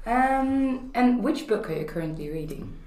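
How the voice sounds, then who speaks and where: A woman's voice: a little high, expressive, a little slow, darkish tone, roomy sound. A woman from the Netherlands hosting a podcast interview over a video call. She talks in a friendly way and laughs at her own jokes. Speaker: podcast host.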